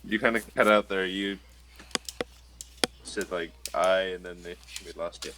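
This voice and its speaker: Low-voiced halting game master. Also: male voice, steady tone. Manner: halting, loose instructions. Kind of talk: running a tabletop game over a call. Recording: faint background noise.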